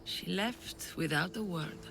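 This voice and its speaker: Wistful older mom leader in a game cutscene, kinda low voice, music underneath. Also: female voice, a little slow, vocal fry. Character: wistful older mother, gentle leader of stranded refugees. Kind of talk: video game cutscene acting.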